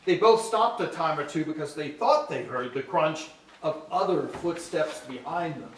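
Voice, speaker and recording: A man's voice: on the high side, animated, moderate pace, lightly acted. A man playing a storyteller, giving dramatic, scripted storybook narration on stage. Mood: suspenseful. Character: male storyteller narrating an old winter tale. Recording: echoey hall, far mic, faint noise.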